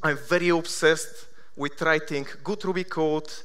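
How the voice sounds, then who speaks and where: A man in his thirties from Bulgaria with a Bulgarian accent, giving a conference keynote with self-deprecating deadpan humor. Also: on the high side, unhurried, clean tone. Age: 30s. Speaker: conference speaker. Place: large conference hall.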